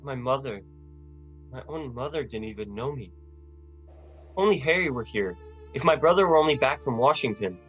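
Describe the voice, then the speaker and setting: A man's voice: mid-range voice, animated, normal speed, long pauses, a bit bright, highs cut off, faint music behind, lightly acted. A man acting a desperate, stubborn family man in an amateur radio play. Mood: despairing.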